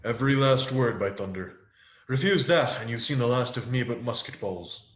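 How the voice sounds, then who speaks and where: A man's voice: mid-range voice, lively intonation, normal speed, natural voice. Man acting pirate ringleader, radio play, sly, falsely polite, menacing, echoey dull recording. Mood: threatening. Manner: wheedling, folksy old seadog talk. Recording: echoey, dull, faintly noisy home recording.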